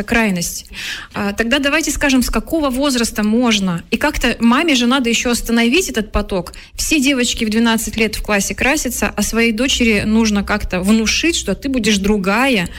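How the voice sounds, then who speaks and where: Talk-show hostess, inquisitive tone. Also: female voice, a little high. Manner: questioning and conversational, moving the talk along. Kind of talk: conversational talk-show hosting.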